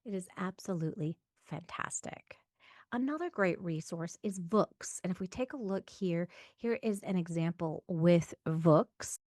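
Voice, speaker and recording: A woman's voice: lowish voice, unhurried, darkish tone, no echo, clean studio sound. Reassuring woman giving practical tutorial advice. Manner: reassuring, encouraging, emphatic. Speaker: reading tutor and host. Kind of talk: instructional talk to camera for parents.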